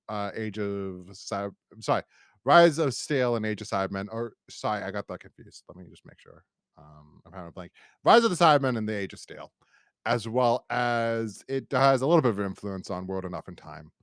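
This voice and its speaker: A man's voice: on the low side, expressive, moderate pace, no room sound, clean studio sound. An American man hosting a podcast, talking casually and enthusiastically in a rambling fan-review style. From United States. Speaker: podcast host.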